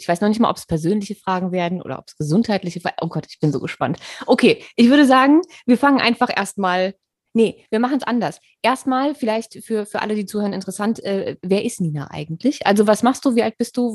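Animated woman host, dry close sound. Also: medium pitch, a little fast, dry recording. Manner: animated, stumbling over repeated words. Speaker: podcast host. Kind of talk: casual podcast conversation.

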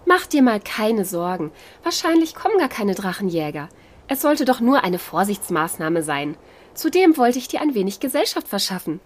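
A woman's voice: mid-range voice, big pitch swings, moderate pace. A woman playing a kind, composed princess in a fairy-tale audio play, polite and matter-of-fact. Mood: reassuring. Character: kind, composed princess who gives orders.

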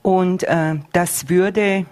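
A woman's voice: lowish voice, fairly even intonation, a little mellow. A middle-aged woman, a school head answering interview questions earnestly, with frequent hesitations. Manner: earnest, measured, with many hesitations.

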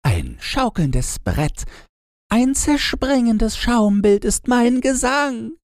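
A man's voice: extremely high pitch, sing-song, takes it slowly, no room sound, studio quality, voice pushed up, heavily acted. A man voicing a small, dreamy snow flower from a fairy tale, reciting in a light, lilting way.

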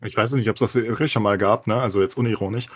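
Man, podcast co-host, husky and rough-edged, rapid pace, emphatic colloquial opinions. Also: lowish voice, fairly even intonation. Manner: emphatic, colloquial with English slang. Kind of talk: casual opinionated discussion.

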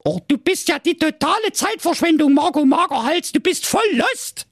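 Super shrill spoiled princess, whiny and snooty. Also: male voice, expressive, normal speed, dry recording, studio quality. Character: spoiled cold-hearted young princess.

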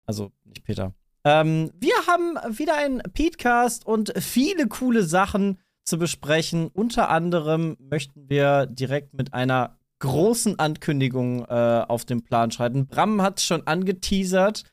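A man's voice: on the high side, very animated, unhurried, no room sound, clean studio sound. A young man hosting a casual podcast with friends, joking around and reading an ad spot. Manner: jokey, playful mock-Dutch greeting. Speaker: podcast host. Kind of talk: casual chat among friends.

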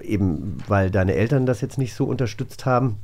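A man's voice: fairly low pitch, normal speed, darkish tone. A man hosting a podcast, chatting casually and nerdily about audio dramas. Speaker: host of a radio play podcast. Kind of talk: casual nerdy chat.

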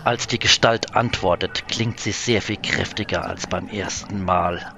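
A man's voice: sits low, fairly even intonation, a little slow, creaky. A man reading scripted scene-setting narration for a science-fiction audio drama.

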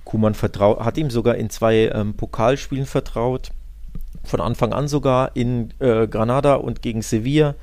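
Man, low creaky voice, dry close sound, casual football podcast analysis, colloquial and opinionated. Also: steady tone, moderate pace, a little mellow, dry recording.

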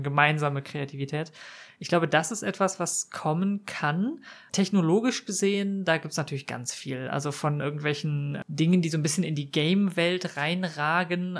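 A man's voice: a little high, a bit bright. A man speaking as a podcast guest, explaining his ideas in a considered but casual way. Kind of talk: casual discussion.

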